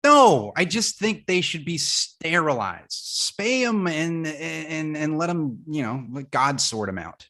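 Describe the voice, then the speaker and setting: A man's voice: a little high, big pitch swings, takes it slowly, few pauses, bright, forceful tone, exaggerated voice. A man playing a sarcastic, grumbling roommate in his twenties at a comedy table read, stumbling over words in an everyday voice. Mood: exasperated. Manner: sarcastic, stammering.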